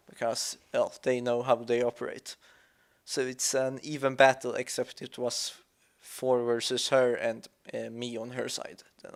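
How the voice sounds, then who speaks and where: Slow-paced man, mid-pitched, halting and thoughtful, unscripted game commentary. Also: clean tone. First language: Swedish. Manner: halting, searching for words, thoughtful. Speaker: let's play commentator. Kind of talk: reflective gameplay commentary.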